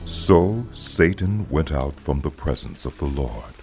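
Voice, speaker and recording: A man's voice: very deep voice, expressive, slow pace, music in the background. A man narrating a dramatized audio Bible as a solemn storyteller.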